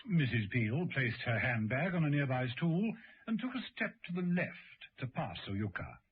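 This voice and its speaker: A man's voice: mid-range voice, sing-song, moderate pace, breathy edge. A man giving scripted dramatic narration for a radio play. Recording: muffled, slightly noisy vintage radio recording.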